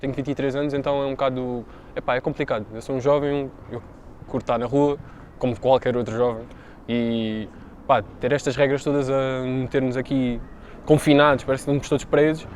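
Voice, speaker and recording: Young Portuguese man, flat delivery. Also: mid-range voice, a little fast, slight background noise. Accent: European Portuguese. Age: 20s. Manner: informal, fillers like "epá" and "pá". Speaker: interviewee. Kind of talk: casual interview answers.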